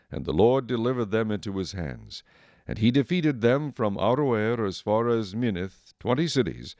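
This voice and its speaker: A man delivers scripted Bible narration as the storyteller of an audio drama. His voice is on the low side and his phrasing is formal and measured. The recording is very dry and close. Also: darkish tone, dry recording.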